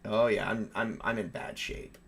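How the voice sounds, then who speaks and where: A man's voice: lowish voice, fairly even intonation, normal speed, creaky, fairly bright tone, slightly rough. A man narrating a tabletop horror game as game master in a casual, improvised and informal way. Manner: informal, improvising with mild swearing.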